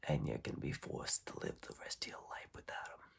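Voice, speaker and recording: A young man playing a smug, menacing mob boss in an ASMR roleplay, speaking in halting phrases with a breathy, raspy voice that often drops to a whisper. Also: close to an everyday voice. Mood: threatening. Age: young adult. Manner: slow, halting, repeats words, quietly intimidating. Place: dim room at home, indoors.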